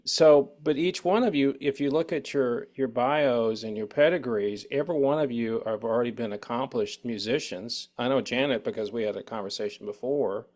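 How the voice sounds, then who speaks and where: A man's voice: mid-range voice, normal speed, few pauses, a little mellow. A man hosting a casual music interview, talking in a rambling, conversational way about his own experience as a musician. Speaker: show host.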